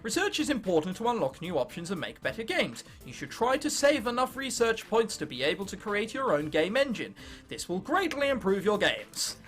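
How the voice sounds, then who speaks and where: Young man, English accent, bright tone, lively intonation, casual gaming commentary, jokey, music in background. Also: high-pitched, moderate pace. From England. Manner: jokey, sweary, self-interrupting. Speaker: gaming video host. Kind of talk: casual let's play commentary, reading game text aloud.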